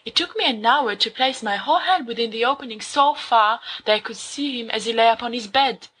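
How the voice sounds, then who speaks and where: A woman voicing a young male wizard student who reads a horror story aloud to his friends, slow and hushed at times. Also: fairly high pitch, a little hiss or hum, close to an everyday voice, poor audio. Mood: dramatic. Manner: slow, hushed dramatic reading. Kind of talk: audiobook fan fiction reading.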